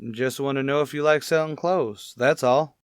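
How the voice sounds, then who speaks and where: A man playing a steady, low-key police detective in a radio drama, understated and casually probing. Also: normal speed, smooth, no echo, clean studio sound, lightly acted. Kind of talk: old-time radio police drama acting.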